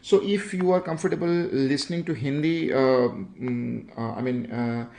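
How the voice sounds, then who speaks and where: A young man with an Indian English accent teaching a drawing livestream, unhurried and halting, with many fillers. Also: medium pitch, creaky, dark tone. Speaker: art tutor.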